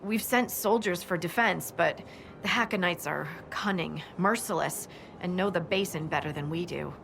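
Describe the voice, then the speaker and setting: A woman's voice: on the low side, moderate pace, gravelly, background noise. An actress playing a capable, earnest female military scout in a video game, briefing in a concerned, matter-of-fact way. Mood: concerned, reporting.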